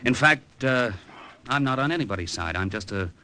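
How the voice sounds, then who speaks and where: An actor playing a wry, easygoing middle-aged American gambler, speaking in a laconic 1950s radio drama style. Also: male voice, medium pitch, animated, normal speed, pushed and bright. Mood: affable. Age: 40s. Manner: laconic, breezy, conversational. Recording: vintage radio drama recording.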